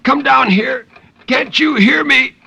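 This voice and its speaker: A man's voice: very high voice, slow, gravelly, pushed and bright, breathy edge, voice pushed up, full character voice. A man voicing a bound husband screaming in desperate terror, in a 1950s radio reading. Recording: muffled, noisy 1950s radio recording.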